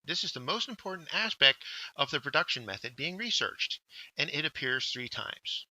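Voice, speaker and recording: A man narrating a scripted instructional workshop, explaining step by step with deliberate emphasis on key words. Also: on the high side, big pitch swings, bright, forceful tone, no echo, poor audio. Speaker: workshop instructor, journal editor.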